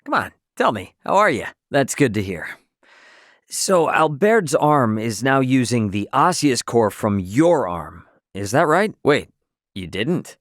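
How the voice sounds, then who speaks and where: A man acting a brash but friendly young spacefaring adventurer in a video game, with a casual, expressive, slangy delivery. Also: mid-range voice, measured pace, no echo, studio quality. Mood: curious, concerned.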